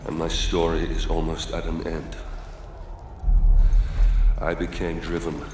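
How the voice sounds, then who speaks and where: An actor playing a brooding, menacing Roman general bent on vengeance in a video game, solemn and deliberate, in an echoing hall. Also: male voice, very deep voice, monotone. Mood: grim, vengeful. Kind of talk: dramatic video game monologue. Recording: long echoey hall with clear noise.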